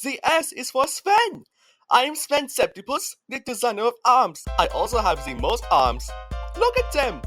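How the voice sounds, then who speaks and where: Woman playing boastful sea creature inventor, cartoon, high-ish pitch, clipped broken English, music underneath. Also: wildly swooping pitch, moderate pace, fairly bright tone, breathy edge, dry recording, studio quality, exaggerated voice. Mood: boastful, excitable. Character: excitable many-armed sea creature, boastful gadget designer. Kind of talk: cartoon fan dub acting.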